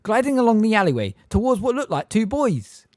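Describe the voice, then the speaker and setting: A man's voice: high-pitched, sing-song, brisk, darkish tone, dry recording, studio quality, voice pushed up. A man reading an audiobook voices a nervous, frail old woman giving testimony, quivering and gabbling. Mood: flustered. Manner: gabbling, flustered. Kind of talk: audiobook character voice.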